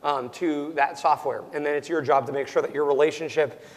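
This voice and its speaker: A young man, a startup founder, answering interview questions loudly and almost yelling, with plenty of ums and restarts, his voice worn and cracking. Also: on the high side, steady tone, a little fast, in a large hall. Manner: loud, nearly yelling, full of ums and restarts. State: losing his voice, prone to cracking.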